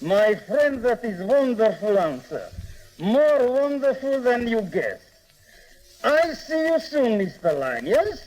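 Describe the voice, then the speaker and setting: A man's voice: very high voice, sing-song, slow pace, darkish tone, heavily acted, low-quality recording. A man playing a rich, mysterious foreign tycoon with a foreign accent and commanding broken English, in 1950s radio drama style. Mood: imperious, amused.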